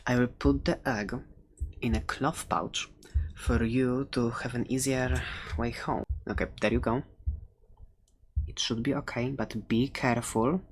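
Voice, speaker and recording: Someone playing a furry creature shopkeeper for asmr, slow n soft, halting, kinda whispery, noisy clicky recording. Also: medium pitch, fairly even intonation, close to an everyday voice. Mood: coaxing, friendly. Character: furry animal shopkeeper selling dragon eggs, doting yet menacing. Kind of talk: ASMR roleplay.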